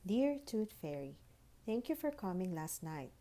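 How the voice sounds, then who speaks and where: Curious little girl for a storybook, played by a woman: polite, eager, questioning, mellow and gentle in tone. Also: fairly low pitch, sing-song, a little slow. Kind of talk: children's storybook read-aloud.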